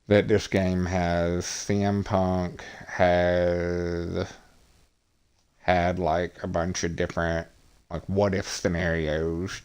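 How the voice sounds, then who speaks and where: A man with a low voice and a very slow, deliberate pace narrates his gameplay stream. He sounds monotonous and hesitant, with frequent pauses and filler words. His voice comes through clean and smooth. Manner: monotonous, hesitant with many ums. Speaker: gaming streamer. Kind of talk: casual game commentary.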